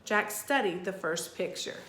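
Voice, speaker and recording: A woman teacher reading a children's story aloud deliberately and expressively, pausing to put questions to listeners. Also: medium pitch, lively intonation, a bit bright, echoey room, a little hiss or hum.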